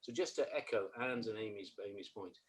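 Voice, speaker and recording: A man's voice: lowish voice, brisk. Self-deprecating male panelist. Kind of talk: career panel discussion.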